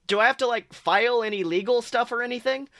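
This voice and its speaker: A man doing an excitable teen superhero boy. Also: very high-pitched, dry recording, clean studio sound, pitched above the performer's own voice. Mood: excited. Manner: eager, rapid questions. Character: excited teenage boy who just became a superhero.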